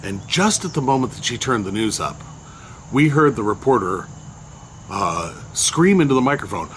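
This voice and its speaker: An older man with a loud voice casually recounting a memory, unhurried and rambling with many fillers. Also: mid-range voice, sing-song, slight background noise. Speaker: storyteller recounting a personal memory. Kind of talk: casual spoken recollection.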